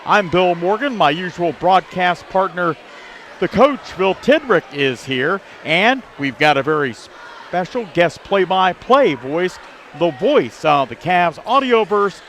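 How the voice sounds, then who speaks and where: High-voiced male sports host, noisy gym. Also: animated, a little slow, a bit bright. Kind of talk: sports pregame broadcast. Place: busy high school gym. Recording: noisy gym with bouncing basketballs.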